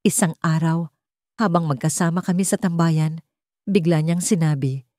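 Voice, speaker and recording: A woman's voice: sits low, steady tone, moderate pace, mellow, no room sound, clean studio sound. A woman narrating a scripted first-person story as a storyteller.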